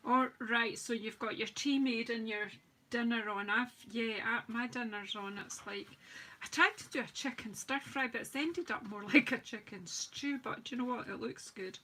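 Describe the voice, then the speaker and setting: Woman, bright voice, a bit higher, croaky, chatty craft-demo host, rambling asides. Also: normal speed, poor audio. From United Kingdom. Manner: chatty, rambling, self-deprecating, talks while working. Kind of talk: live-stream craft demo chat.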